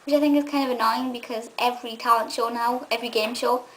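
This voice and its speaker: A young woman from England chatting casually to camera, enthusiastic and rambling, with a slightly gruff voice. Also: a little high, steady tone, fast, fairly bright tone, slight background noise, poor audio. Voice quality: says she has a gruff voice. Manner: enthusiastic and nostalgic, rambling with many ums. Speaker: vlogger and film reviewer.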